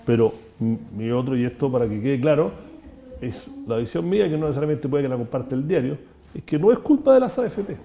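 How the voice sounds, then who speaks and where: Fast-talking Chilean man hosting a chat. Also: medium pitch, animated, gravelly, dark tone, a little hiss or hum. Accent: Chilean Spanish. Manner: fast, opinionated, many restarts. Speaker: host. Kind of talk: casual talk-show conversation.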